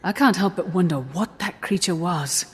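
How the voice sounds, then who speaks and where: A woman's voice: lowish voice, normal speed, slight background noise, natural voice. An actress playing a serious, concerned woman adventurer in a video game, speaking in an earnest, questioning way. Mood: concerned wonder. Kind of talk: video game character dialogue.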